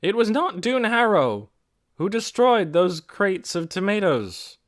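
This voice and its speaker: A man putting on a calm, commanding village leader, a woman who speaks slowly and deliberately, every phrase weighed. Her voice sits high and rises and falls widely as she addresses a crowded meeting in this storybook reading. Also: full character voice. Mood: solemn. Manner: measured, solemn public speaking. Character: steady, businesslike female village leader. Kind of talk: audiobook character voice.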